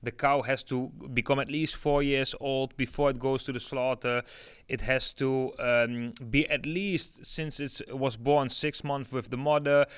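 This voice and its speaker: A man's voice: medium pitch, steady tone, measured pace, smooth, no echo, studio quality. A young man with a Dutch accent hosting a casual live crypto stream, talking through charts with lots of filler words. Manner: chatty, many fillers like "like" and "yeah". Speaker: crypto livestream host.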